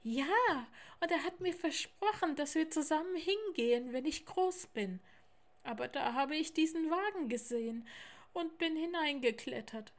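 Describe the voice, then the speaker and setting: A woman's voice: high-pitched, expressive, a little slow, pitched above the performer's own voice. A woman voicing an anxious, homesick little male tiger cub with a childlike manner in a children's storybook. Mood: sad, frightened.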